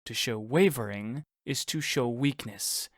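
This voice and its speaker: A man plays a proud king and father in an audio drama. He speaks in a medium-pitched male voice with formal, unyielding authority. The sound is dry and close. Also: unhurried, a bit bright, dry recording. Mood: formal, firm. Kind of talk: audio roleplay acting.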